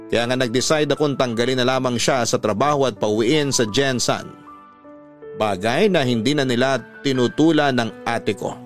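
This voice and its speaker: A man narrates a personal letter for a radio drama at a middle pitch. The recording is dry and close, with soft music running behind his scripted delivery. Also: no echo.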